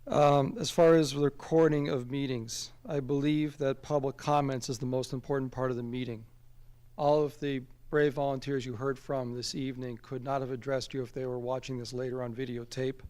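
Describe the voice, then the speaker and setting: A man speaking earnestly and deliberately as a member of the public at a local meeting, arguing his point at a microphone. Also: mid-range voice, level tone, a little mellow, clear, resonant tone. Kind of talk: public comment speech at a local government meeting. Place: town committee meeting room. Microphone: standing at a public microphone.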